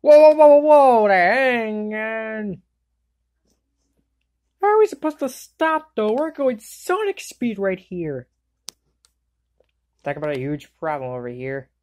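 Woman voicing an excitable, swooping anime cartoon girl. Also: fairly high pitch, slow, long pauses. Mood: alarmed. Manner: exclamatory, wisecracking. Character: excitable girl character in an anime comedy fandub. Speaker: fan dub voice actor. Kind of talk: amateur cartoon fandub line readings.

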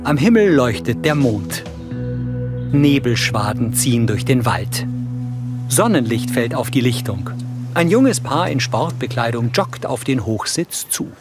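A man reading scripted scene narration in a measured, neutral delivery. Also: mid-range voice, expressive, music in the background. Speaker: audio description narrator.

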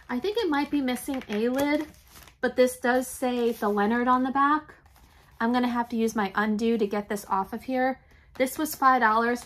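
A chatty, enthusiastic woman, talking straight to her desk camera in a home craft room. Her voice sits a touch high, and it sounds a little raspy and congested from allergies, with the odd throat clearing. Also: fairly bright tone, a little hiss or hum. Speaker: reseller vlogger. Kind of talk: casual shop-with-me haul chat.

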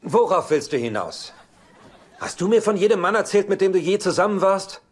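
A glib sitcom playboy, animated. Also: male voice, high-pitched, normal speed. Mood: defensive. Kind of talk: dubbed sitcom dialogue.

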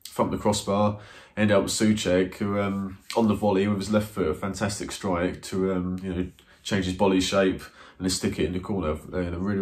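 A man's voice: on the low side, a little mellow. A man, reviewing a football match to camera from a small room, hesitant with many ums and restarts. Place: small indoor room, plain wall. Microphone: camera in front, seated.

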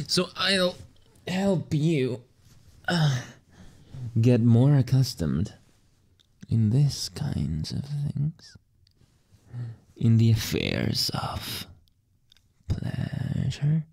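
A young man doing a whispered ASMR roleplay as a teasing boyfriend, talking slowly in breathy whispers with soft chuckles into a close microphone. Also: mid-range voice, no room sound.

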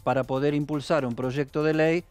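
A man's voice: fairly high pitch, steady tone, moderate pace, darkish tone, smooth, faint music behind. A man with an Argentine accent, co-hosting a studio news panel in a folksy, colloquial way. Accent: Argentine Spanish. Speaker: news co-host.

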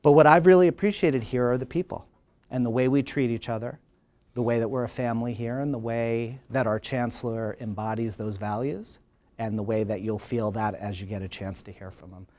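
A middle-aged man with a New Jersey accent stands before a lecture hall and introduces the next speaker. His delivery is earnest and animated, in a middle-pitched voice, picked up on a lapel mic. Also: brisk. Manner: earnest, animated, gesturing while talking. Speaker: college dean introducing a speaker. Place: large lecture hall, indoors.

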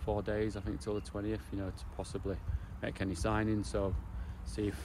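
A man in his forties, coaching a football side, answers questions beside the pitch after a match. His voice sits low and flat, and he talks haltingly with lots of restarts and fillers. Clear outdoor noise hums behind him. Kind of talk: post-match interview.

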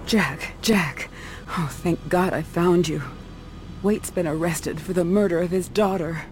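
Woman playing anxious insistent woman, low-pitched, serious, video game acting, clear ambient noise. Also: a little slow, lightly acted. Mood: relieved, anxious. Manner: worried, insistent. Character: anxious, serious woman, the investigator's concerned ally.

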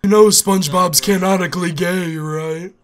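A man's voice: high-pitched, fairly even intonation, normal speed, crowd noise, natural voice. A dopey male cartoon starfish, played by a man in improvised comedy roleplay. The voice is strained and goofy, needy and over-eager, like a lovesick oaf.

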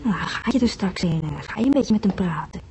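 Mellow-voiced, earnest, frustrated wife, acted by a woman. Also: mid-range voice, expressive, fast, a little hiss or hum, lightly acted. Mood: pleading. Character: earnest, frustrated wife visiting her husband in hospital. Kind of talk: naturalistic radio drama acting.

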